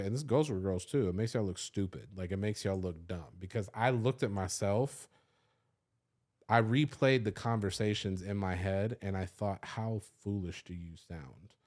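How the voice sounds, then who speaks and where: A man's voice: on the low side, a little mellow. A man, telling a candid personal story on a podcast, loose and confessional, swearing freely with lots of "like". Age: 30s. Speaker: podcast host.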